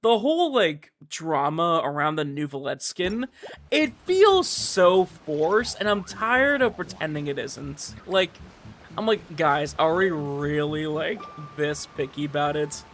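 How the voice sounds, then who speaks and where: A man's voice: a little high, big pitch swings, takes it slowly, a bit bright, a little hiss or hum. A man livestreaming a game, chatting casually in a slangy, rambling way. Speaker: gaming livestreamer. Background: video game dialogue and audio.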